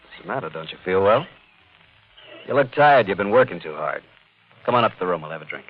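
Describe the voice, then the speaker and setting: An actor playing a charming, relaxed, hearty businessman on vacation in a 1950s radio drama, chummy and easygoing. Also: deep voice, brisk, breathy edge. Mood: hearty, friendly. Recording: muffled 1950 radio recording.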